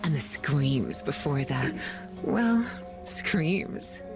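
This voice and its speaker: A woman voicing a seductive, cruel demon huntress in a video game, purring and teasing with drawn-out delivery. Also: extremely low pitch, animated, full character voice. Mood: cruel relish. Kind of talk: video game character voice acting. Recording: game audio with music behind.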